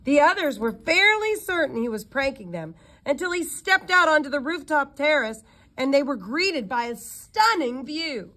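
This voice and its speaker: Higher-voiced woman reading a story aloud. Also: moderate pace. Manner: expressive reading with occasional stumbles and self-corrections. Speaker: audiobook reader. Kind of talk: scripted story narration read aloud.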